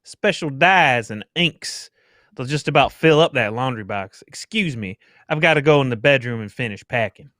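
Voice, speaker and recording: A man's voice: medium pitch, expressive, normal speed, fairly bright tone, no echo, close to an everyday voice. Man as crusty, nervous older gent, dry sound. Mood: jittery. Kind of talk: live reading of old-time radio mystery script.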